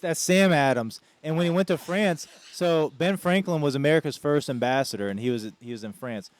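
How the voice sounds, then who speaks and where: A man co-hosting a casual podcast, his voice smooth and resonant. He rattles off history anecdotes in a loose, slangy way, dropping "dude" into his lines. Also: a little high, a little fast, dry recording. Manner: animated storytelling, slangy, says "dude". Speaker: podcast co-host.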